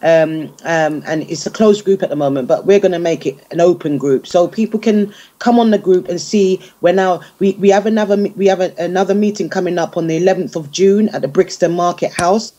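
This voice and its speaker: A woman with a dark, mellow voice talks informally as a guest on a radio show. She is halting, repeating words as she explains things casually. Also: lowish voice, lo-fi sound. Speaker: guest, music group organiser. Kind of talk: casual radio interview talk.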